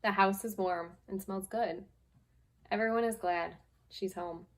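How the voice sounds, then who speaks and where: A woman reading and talking to young children as a guest storyteller, in simple, deliberate phrases. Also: mid-range voice, steady tone. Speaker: guest storyteller, school staff member. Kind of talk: children's story read-aloud and advice.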